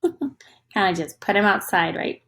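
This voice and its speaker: Slow, very expressive woman reading to children. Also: mid-range voice. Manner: expressive, teacherly, asks the listener questions. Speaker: storyteller reading a picture book to young children. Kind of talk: interactive children's read-aloud.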